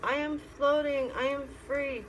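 A woman's voice: high-pitched, steady tone, measured pace, voice pushed up, low-quality recording. A woman voicing a confident, playful, dreamy little girl from a storybook, with an emphatic lilt. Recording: clear background noise.

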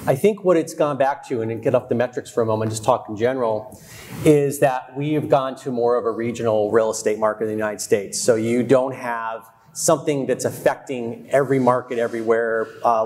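A middle-aged man speaking as a real estate expert on a conference panel, measured and analytical, wearing a lapel mic on a large hall stage. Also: mid-range voice, a little mellow, slight background noise.

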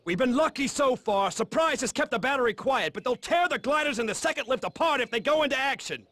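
High, forceful army officer. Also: male voice, animated, fast, dry recording, heavily acted. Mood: urgent. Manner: shouting, clipped commands. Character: urgent male WWII paratrooper officer barking orders. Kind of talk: video game cutscene acting.

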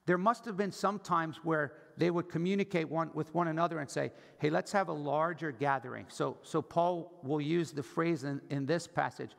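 A man's voice: fairly high pitch, creaky. An older American man preaching a teaching sermon in a conversational, explanatory manner, posing rhetorical questions. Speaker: pastor preaching. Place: large church auditorium stage, indoors. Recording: reverberant hall sound.

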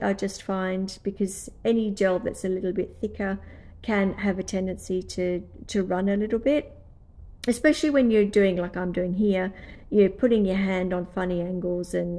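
A woman with an Australian accent talks through her nail work in a relaxed, explanatory way. She sounds a little nasal and stuffy from a cold. Her pitch sits in the middle, and she adds friendly, apologetic asides as she goes. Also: gravelly. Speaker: nail tutorial host. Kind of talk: voice-over nail tutorial.

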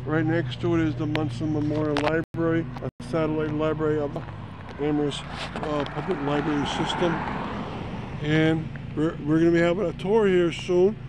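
Guy doing a rambly history walk outside, bit high voiced, cars going by behind. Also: level tone, normal speed. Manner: rambling, with fillers and self-corrections. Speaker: local history tour guide. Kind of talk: casual walking tour narration. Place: outdoors on a town green by a road. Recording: outdoor traffic noise.

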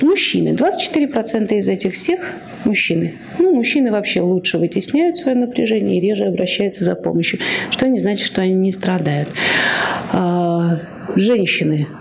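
A woman's voice: medium pitch, gravelly, a little mellow, slight background noise. Earnest woman presenting at a conference. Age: 40s. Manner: earnest, explanatory, with frequent fillers and self-corrections. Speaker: oncology psychologist presenting at a conference. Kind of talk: conference talk with slides. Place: conference hall, at a lectern.

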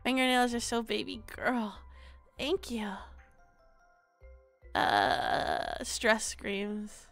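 Young woman, streamer, stuffy nose, loud, a bit high-pitched, faint background music. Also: sing-song, extremely slow, creaky, pauses often. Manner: loud, bursts into screaming. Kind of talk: casual livestream chat.

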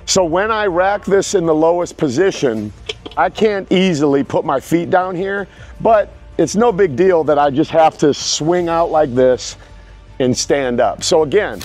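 A middle-aged man with a slightly high voice talks plainly and frankly about a piece of home gym equipment. He walks the listener through it step by step in a casual review style. He is recorded outdoors in a backyard on a clip-on mic, with faint music playing underneath. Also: expressive, a little slow, a little mellow. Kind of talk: casual product review to camera.

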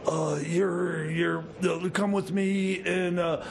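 A man acts out a flustered jail officer in comedy radio theater. The voice is creaky and gravelly. He halts and stammers his way through official business like a bumbler. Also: high voice, steady tone, slight background noise, full character voice. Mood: halting, official. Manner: stammering, halting.

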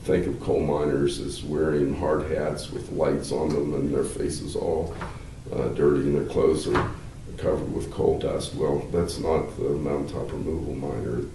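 An older man, a law professor, explaining at length in a measured, halting way with many fillers, seated in a wood-paneled room. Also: a bass voice, flat delivery, gravelly. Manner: gestures with hands while talking. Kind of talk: explanatory interview answers. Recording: roomy, slightly distant, faint hiss.

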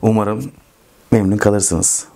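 A man's voice: on the low side, fairly even intonation, slow, gravelly, dark tone. A man, hosting a video in a casual chat to camera from a room at home. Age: 20s. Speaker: host of a video channel. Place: small furnished room at home.

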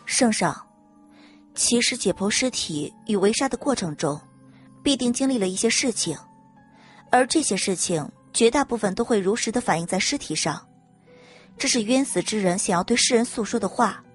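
A woman's voice: mid-range voice, normal speed, soft background music, lightly acted. Cold, level-voiced young noblewoman voiced by a woman. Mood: measured, earnest. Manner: flat, level, unyielding. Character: cold, composed young noblewoman forensic examiner.

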